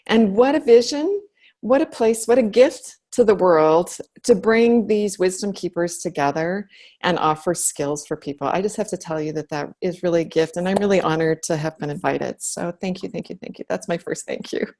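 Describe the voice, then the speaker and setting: A woman's voice: fairly low pitch, moderate pace, darkish tone. A woman guest speaker giving an earnest online talk as a shaman.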